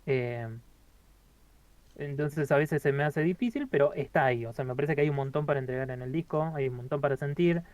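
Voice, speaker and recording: A man, co-hosting a casual album review, talking haltingly with many fillers and restarts. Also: a little high, a little mellow, no echo. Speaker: podcast co-host reviewing an album.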